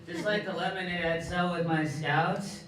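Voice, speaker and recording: A man's voice: high-pitched, fairly even intonation, moderate pace, a bit bright, distant mic. A man on stage playing a bitter, washed-up former scoutmaster in a live stand-up character set, talking in a rambling, bitter way. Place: live comedy venue with audience. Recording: echoey room recorded from afar, noisy.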